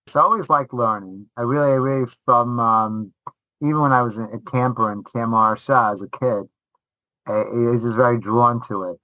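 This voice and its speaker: Middle-aged male interview guest, veteran teacher type. Forceful bright tone, dry sound, animated stop-start storytelling. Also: medium pitch, dry recording, poor audio. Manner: animated, halting delivery with repeated false starts.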